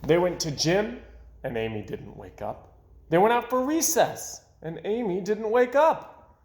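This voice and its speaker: Animated, high-pitched man reading a children's story. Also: a little slow. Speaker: storyteller reading a picture book aloud. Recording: roomy, echoing sound.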